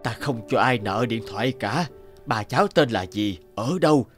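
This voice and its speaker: A man's voice: medium pitch, moderate pace, fairly bright tone, close to an everyday voice. An actor playing a stern, busy older businessman in a cartoon, with music behind him. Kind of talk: cartoon voice acting.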